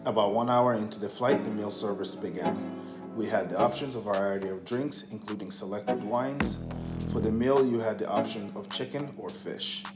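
Low-voiced man narrating over loud music. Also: normal speed. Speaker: travel vlogger. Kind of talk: scripted flight review narration. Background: loud stock music.

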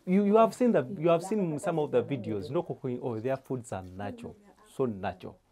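A young man hosting a casual cooking vlog, speaking haltingly and repeating his phrases. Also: mid-range voice, lively intonation, warm tone. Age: young adult. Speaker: vlog host.

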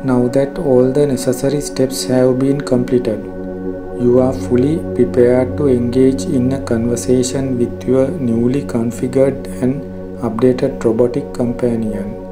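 Male narrator for a tech how-to: formal, measured, very flat intonation, clear music bed underneath. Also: medium pitch. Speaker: tutorial narrator. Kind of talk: scripted instructional narration. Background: background music.

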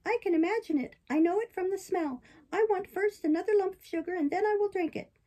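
Woman acting a very high childish puppet boy, stubborn, plaintive, whining, storybook character. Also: fairly quick, mellow. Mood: complaining. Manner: plaintive, protesting.

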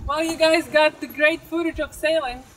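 A woman's voice: high voice, steady tone, normal speed, loud music behind the voice, poor audio. A young woman co-hosting a sailing vlog, chatting casually and often laughing while out on a catamaran at sea. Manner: giggly, chatty.

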